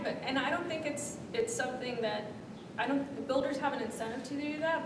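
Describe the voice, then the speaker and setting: A woman's voice: fairly high pitch, noisy background. A woman in her thirties, a startup founder, answering interview questions in an office meeting room with many fillers and restarts. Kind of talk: onstage interview. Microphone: far room camera. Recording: echoey room audio from far off.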